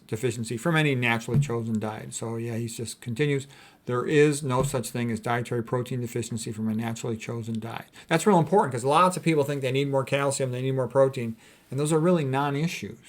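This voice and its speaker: Middle-aged American man, informal lecturer. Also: mid-range voice. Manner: conversational, opinionated asides. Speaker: physician giving a lecture. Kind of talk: informal lecture with commentary on quotes.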